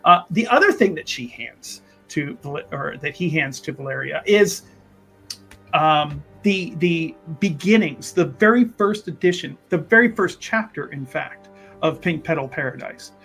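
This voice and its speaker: A man's voice: on the high side, lively intonation, unhurried, vocal fry, a bit bright, husky, faint music behind. A man casually narrating a tabletop roleplaying game, speaking emphatically and repeating phrases to drive them home.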